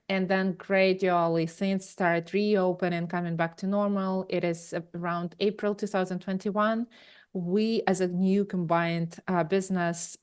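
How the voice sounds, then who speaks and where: Smooth-voiced Ukrainian-accented woman. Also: on the low side, a little mellow. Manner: rambling storyteller with many fillers and restarts. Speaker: guest, tech company founder. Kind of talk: conversational interview answers.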